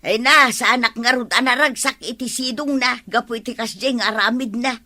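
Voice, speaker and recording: A woman's voice: fairly high pitch, brisk, sharp tone. A woman acting an exasperated, complaining farmer's wife in a comedy radio drama. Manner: complaining, nagging. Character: exasperated wife scolding her vengeful farmer husband.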